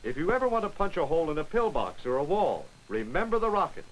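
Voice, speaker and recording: A man giving brisk, wry scripted narration for a 1940s military training film, on an old film soundtrack. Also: a little high, very animated, low-quality recording.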